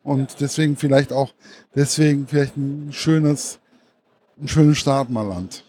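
A man's voice: mid-range voice, measured pace, warm tone, slight background noise. A man interviewing a guest at a book fair, asking hesitant questions with many fillers and repeated words.

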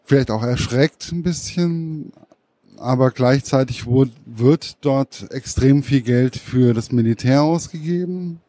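Slow, mellow-voiced interviewer. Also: male voice, medium pitch, dry recording. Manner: slow, searching, hesitant phrasing. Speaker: interviewer, host. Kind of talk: interview questions.

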